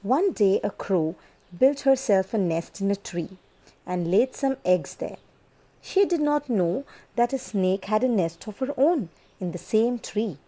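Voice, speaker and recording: A woman narrating a children's bedtime folk tale in an unhurried, expressive storytelling manner. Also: fairly high pitch.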